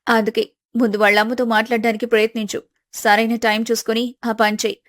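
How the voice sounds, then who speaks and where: A woman storyteller plays a caring, bossy young woman in a family tale. She speaks in a fairly high voice, scolding and advising like a loyal friend. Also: little pitch movement, quick pace, no echo, lightly acted. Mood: firm, advising.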